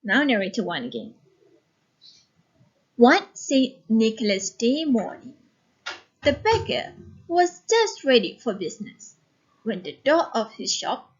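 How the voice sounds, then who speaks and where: A woman teacher reading a story aloud and giving instruction in a deliberate, instructive manner. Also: fairly high pitch, slightly rough, dull audio.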